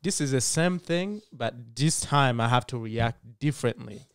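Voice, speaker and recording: Smooth-voiced male podcast host, halting questions. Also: medium pitch, animated, no echo. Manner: asks questions haltingly with restarts and fillers. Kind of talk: casual podcast conversation.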